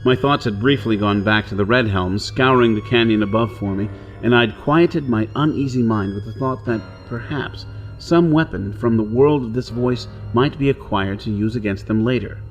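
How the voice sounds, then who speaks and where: A male actor plays a hard-pressed outlaw in a pulp science fiction radio drama. He is wary and brusque, with a brooding, dramatic delivery in a medium-pitched voice. Faint music runs underneath. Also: normal speed, hardly any pauses, muffled recording, lightly acted. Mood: brooding, reflective. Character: brusque, wary hunted outlaw man on Mars. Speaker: narrator and lead actor. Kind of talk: pulp radio drama acting and first-person narration.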